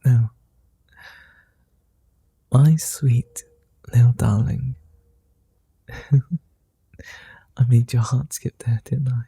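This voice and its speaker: A man voicing a flirty, tender male vampire in an ASMR roleplay, speaking slowly, softly and formally, often in whispers, close to the mic. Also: medium pitch, fairly even intonation, vocal fry, husky, no room sound, exaggerated voice. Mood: tender whispering. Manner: slow, soft and often whispered; old-fashioned formal speech.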